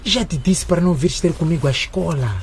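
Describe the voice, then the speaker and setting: A man's voice: on the high side, quick pace, a little hiss or hum, lightly acted. A man playing an anxious, sulky teenage schoolboy in a radio drama. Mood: nervous, pleading.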